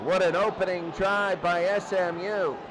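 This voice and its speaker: A man's voice: high voice, steady tone, a little slow. A man doing live play-by-play football commentary as a radio announcer, restating the action in short bursts. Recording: very noisy, loud stadium crowd bed. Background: crowd roar.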